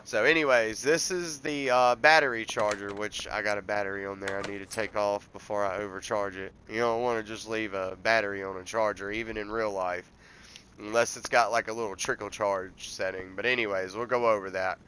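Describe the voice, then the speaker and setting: A man's voice: fairly low pitch, fairly even intonation, brisk, bright, forceful tone, a little hiss or hum. A man, congested from a cold, casually walking through a car-repair game step by step in a rambling, folksy way. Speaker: gameplay tutorial host.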